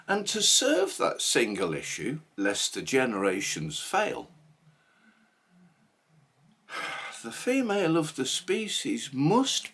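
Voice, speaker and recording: Male poetry reader, husky, theatrical and emphatic, slow delivery with hugely varied pitch. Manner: theatrical, emphatic, deliberate phrasing. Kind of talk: dramatic poetry recitation.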